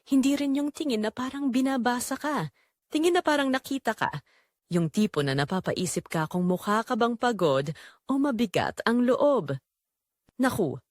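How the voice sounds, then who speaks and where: A woman's voice: on the high side, no room sound. Woman doing lonely married lady in her 40s, audio drama confession, wistful n torn, voice goes up and down a lot, dry sound. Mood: wistful, drawn in. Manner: confessional, dramatic, with short pausing phrases. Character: Rosa, lonely neglected forty-three-year-old wife, wistful and conflicted. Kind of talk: first-person dramatic audio story narration.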